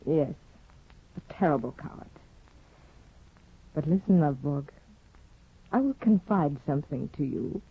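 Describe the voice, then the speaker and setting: An actress playing a proud, discontented young aristocratic wife in a poised, theatrical 1950s radio drama manner. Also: female voice, sits low, lively intonation, unhurried, long pauses, husky, heavily acted. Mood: confiding, tense. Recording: muffled, phone-like old radio broadcast, roomy.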